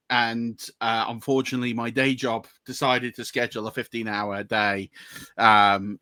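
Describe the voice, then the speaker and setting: A man's voice: medium pitch, pushed and bright, no echo. A man hosting a podcast, chatting casually in an upbeat, welcoming way. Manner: upbeat, welcoming, many fillers. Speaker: podcast host.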